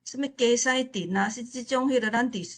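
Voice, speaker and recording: 臺灣腔查某人，講話頓頓、重複. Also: female voice, fairly high pitch, a bit bright. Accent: Taiwanese. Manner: halting, repeats phrases. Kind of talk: informal spoken remarks at a literature forum.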